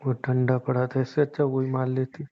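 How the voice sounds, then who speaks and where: A man's voice: medium pitch, completely flat delivery, normal speed, natural voice. A young man playing a henpecked, exasperated husband who grumbles and pleads in a naturalistic phone-call skit. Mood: complaining. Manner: complaining, pleading, defiant grumbling. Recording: dull, lo-fi phone call recording.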